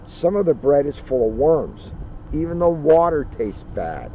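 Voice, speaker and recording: A man reading a children's history book aloud outdoors, with deliberate, dramatic phrasing and casual asides. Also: fairly high pitch, big pitch swings, dark tone. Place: outdoors at a turkey farm. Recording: muffled outdoor recording, faint vehicle noise.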